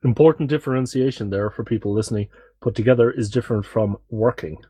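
A man's voice: mid-range voice, fast, warm tone, husky, no echo. A man in a casual podcast chat with a dry, matter-of-fact way of joking.

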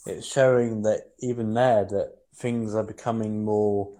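Male podcast co-host, low-ish voice, rambling, stop-start delivery. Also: fairly even intonation, mellow. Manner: halting, many restarts and fillers. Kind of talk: rambling casual chat. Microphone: headset.

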